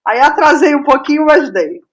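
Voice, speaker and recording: A woman with a Brazilian accent and a fairly high voice talks casually as an interview guest. She thinks aloud, often repeating a phrase before carrying on. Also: expressive, normal speed. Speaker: guest playwright.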